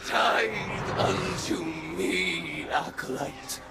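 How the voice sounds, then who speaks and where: Creaky high vengeful witch chanting a ritual, super slow, loud music behind, video game. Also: exaggerated voice. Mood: fierce, commanding. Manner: ceremonial, commanding incantation.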